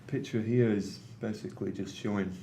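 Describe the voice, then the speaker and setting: Middle-aged Mancunian man, lowish voice, relaxed presenter with lots of ums, faint room ambience. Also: a little fast, slight background noise. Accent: Manchester. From England. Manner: conversational, with frequent ums and uhs. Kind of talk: conference presentation. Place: meeting room with an audience.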